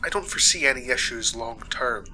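A man playing a reassuring space-hospital doctor in a sci-fi audio roleplay, formal, measured and clinical. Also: medium pitch, expressive, bright, forceful tone, a little hiss or hum, low-quality recording. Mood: professional, reassuring. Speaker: voice actor.

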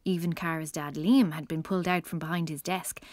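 Lower-pitched woman narrating a story. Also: steady tone, a little fast, darkish tone, no echo. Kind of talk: scripted children's story narration.

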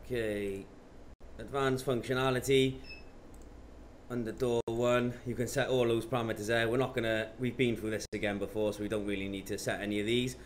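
A man, talking matter-of-factly through steps in an informal software tutorial. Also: mid-range voice, level tone, fairly quick. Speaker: presenter of product tutorials. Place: indoor office. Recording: clear background noise.

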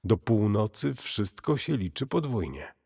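Grim doom-warning archivist played by a man for horror audio play: measured, husky, long reverb. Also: on the low side, sing-song, darkish tone, exaggerated voice. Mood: grave warning.

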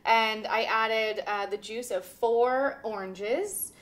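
A woman's voice: a little high, slow pace, fairly bright tone. A woman in her thirties hosting a cooking show, giving upbeat, chatty instructions and laughing often in a home kitchen. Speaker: cooking show host and teacher. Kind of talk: casual cooking demonstration.

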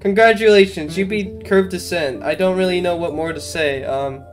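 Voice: medium pitch, normal speed. A casual game commentator talking through a walkthrough in an informal way, with self-mocking asides. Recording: music behind the voice. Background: game music.

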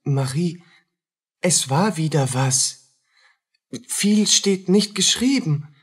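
Haunted, husky soldier. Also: male voice, on the high side, lively intonation, full character voice. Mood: haunted, agitated. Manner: agitated, halting, anxious. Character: haunted, harried, submissive poor soldier. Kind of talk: radio drama acting.

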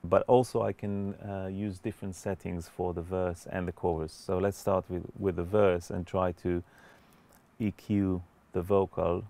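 Middle-aged man, very low voice, creaky fry, measured tutorial delivery, studio instructor. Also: little pitch movement, mellow. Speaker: music producer and mixing instructor. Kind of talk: explanatory webinar tutorial. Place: indoor recording studio.

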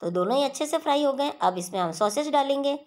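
A woman hosting a home cooking channel, talking viewers through a recipe step by step in a steady instructional voice-over. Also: high-pitched, fast.

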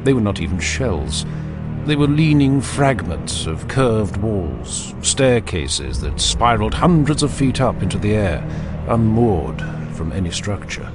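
Male audiobook narrator, solemn, dramatic, deliberate, expressive pitch movement, music behind him.

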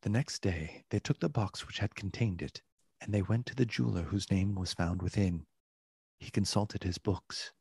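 A man narrating a literary radio play in a solemn, measured, dramatic way, with a husky voice. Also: sits low, darkish tone, no echo. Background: music.

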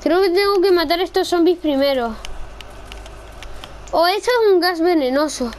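Young woman from gaming, high excitable voice, bit of background noise. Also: normal speed. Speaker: gamer. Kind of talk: casual gameplay role-play chat.

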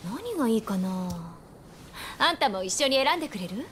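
A woman's voice: high voice, very animated, moderate pace, fairly bright tone, slight background noise. A woman playing a spirited, caring young woman of old Japan in a video game, with a familiar, plain-spoken feminine manner. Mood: friendly, concerned. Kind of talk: video game cutscene acting.

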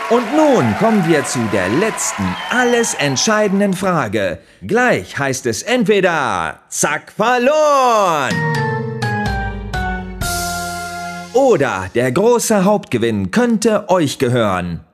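A high-pitched, showy game show host. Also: male voice, huge pitch swings, full character voice. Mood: suspenseful, showy. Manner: theatrical, drawn-out catchphrases. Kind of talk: game show presenting in an audio drama. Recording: music and crowd behind the voice.

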